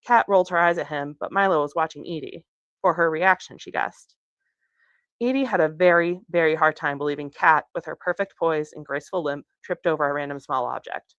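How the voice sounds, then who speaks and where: A woman reading narration from a young adult novel aloud in an expressive storytelling way. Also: low voice, brisk, dry recording, dull audio. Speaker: author reading from their book.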